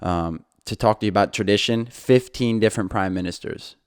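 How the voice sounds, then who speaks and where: A man's voice: lowish voice, fairly quick, clear, resonant tone, no room sound, studio quality. A young man hosting his own podcast, talking casually and rambling a little, with frequent fillers and restarts. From England. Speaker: podcast host. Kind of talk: casual solo podcast talk.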